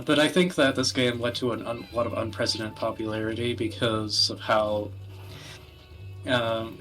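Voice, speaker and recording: A man giving casual podcast commentary, explaining things in a wordy, halting way. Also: fairly low pitch, a bit bright, low-quality recording. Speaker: podcast host presenting video games. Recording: music playing behind the voice. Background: background music.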